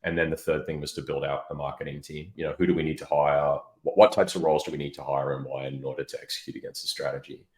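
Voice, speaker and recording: A man with an extremely deep voice talks as a guest in an interview. He explains his points step by step in a conversational style. The sound is echoey, as from a reverberant room. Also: steady tone, fast talker, few pauses, darkish tone. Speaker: interview guest, product marketing executive.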